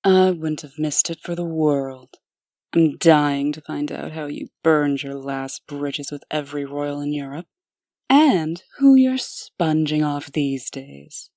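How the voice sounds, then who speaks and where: An actress playing a cold, no-nonsense administrator and bitter ex-wife in a comic dub, cutting and sardonic. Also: female voice, low-pitched, slow, dark tone, dry recording, studio quality.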